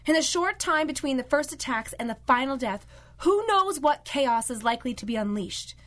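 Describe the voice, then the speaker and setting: A woman's voice: high-pitched, lively intonation, moderate pace, a bit bright. An actress playing a commanding female villain in a radio drama, formal and authoritative. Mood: imperious. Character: imperious female villain, a commanding criminal lieutenant. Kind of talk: old-time radio drama acting.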